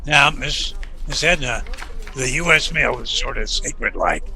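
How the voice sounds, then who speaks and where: A bright, gravelly voice with some background noise, a man playing an obliging small-town deputy in an Old West radio play. He has an American drawl and a homespun, deferential way, with lively swings of pitch. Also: measured pace, heavily acted. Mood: polite, earnest. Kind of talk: western radio drama acting.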